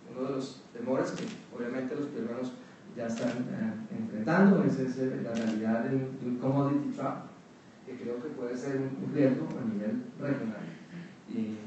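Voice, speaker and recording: A Colombian man with a fairly neutral accent gives a measured academic talk at a round table, pausing often with fillers and restarts. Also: medium pitch, mellow. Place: conference hall. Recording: distant mic in a very echoey hall with faint room noise.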